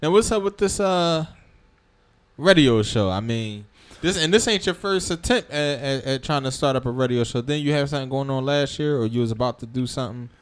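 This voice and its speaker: A man's voice: mid-range voice, lively intonation, fairly quick, clear, resonant tone. A man hosts an interview, asking casual, slangy questions with long rambling setups.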